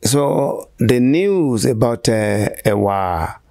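A man in his sixties with a Nigerian accent, giving halting, deliberate answers as a church shepherd in an interview. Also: medium pitch, animated, vocal fry, warm tone, no echo, clean studio sound. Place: small furnished room indoors, on a sofa. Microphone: handheld interview microphone held by interviewer.

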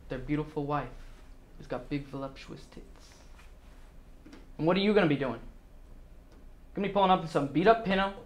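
Actor as domineering rich salesman, very high male voice, cocky, aggressive, dramatic monologue. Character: brash, domineering, foul-mouthed rich salesman boss. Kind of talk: motivational tirade monologue.

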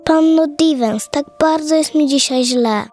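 Woman voicing a high, soft-toned little girl. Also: measured pace, soft background music. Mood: sad. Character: sad, polite little orphan girl, curious and gentle. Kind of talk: children's audio fairy tale acting.

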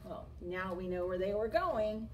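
A woman's voice: medium pitch, animated, measured pace, darkish tone. A middle-aged woman reading a picture book expressively to children in a classroom. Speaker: storyteller, teacher. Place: small classroom, indoors. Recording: clear background room noise.

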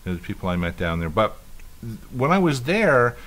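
A man's voice: mid-range voice, sing-song, moderate pace, fairly bright tone. A man in his fifties co-hosting a casual podcast chat, telling long rambling stories with many restarts. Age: 50s. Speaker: podcast co-host.

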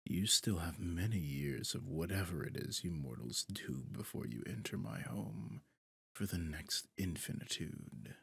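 Man acting a deep, gravelly, breathy demon master. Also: animated, fairly bright tone, no room sound, exaggerated voice. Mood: threatening, amused. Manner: slow, condescending, silky taunting. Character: possessive, smug male demon master of souls. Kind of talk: ASMR roleplay acting.

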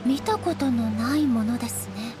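A woman's voice: on the high side, animated, normal speed, over music, lightly acted. A woman playing a dignified, composed female shogun in a video game, speaking formally and politely. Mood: calm, curious. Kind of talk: anime-style video game dialogue acting.

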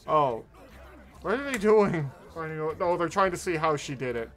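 Higher-voiced man reacting casually. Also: expressive, measured pace, fairly bright tone, faint music behind. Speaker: streamer hosting a reaction video.

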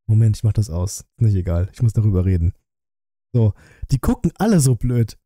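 A man gives casual live-stream commentary in a very mellow, relaxed voice. He sounds close to the microphone in a dry room, chatting directly to his viewers. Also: lowish voice, a little fast, no echo. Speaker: streamer reacting to a film review. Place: small room at a streaming setup. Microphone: close desk microphone, headset worn.

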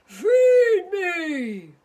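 Woman putting on a loud, hungry storybook monster. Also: very high voice, very animated, very slow, pitched above the performer's own voice, heavily acted. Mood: demanding. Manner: loud, blunt, emphatic demands. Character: hungry demanding monster of few words.

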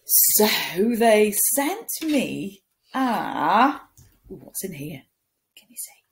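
Very slow, expressive woman reading to children. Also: mid-range voice. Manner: expressive, playful, with dramatic pauses and hushed asides. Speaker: primary school teacher reading a picture book. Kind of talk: animated children's story reading. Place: small room at home, indoors.

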